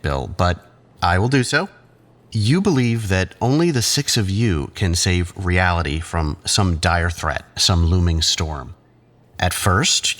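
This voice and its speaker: A male actor playing a condescending, controlling psychiatrist who talks in patronizing, measured therapy-speak in an audio drama. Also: sits low, fairly bright tone.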